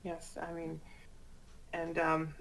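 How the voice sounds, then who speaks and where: A woman's voice: low voice, monotone, slow pace, fairly bright tone, slight background noise, poor audio. A woman chatting casually, hesitant and apologetic, often stammering over the words. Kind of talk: casual chat.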